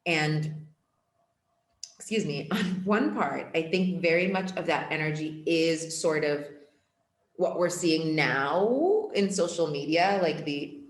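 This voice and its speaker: A woman's voice: deep voice, expressive, takes it slowly, echoey room. A young woman guest, an empowerment coach, talking casually and earnestly in a podcast interview, full of reflective filler words. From born in Portugal, raised in Ethiopia. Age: young adult.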